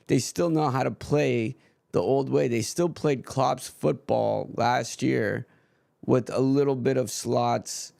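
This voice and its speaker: A man's voice: medium pitch, slow pace, vocal fry, darkish tone, dry recording, clean studio sound. A man streaming a live football match and ranting frankly about his team's manager, swearing freely in casual chat.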